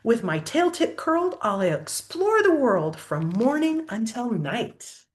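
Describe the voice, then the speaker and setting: Woman reading as a curious little storybook armadillo, lively pitch. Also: slow. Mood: eager. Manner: wondering, earnest storybook questioning. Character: curious, wondering male armadillo traveler.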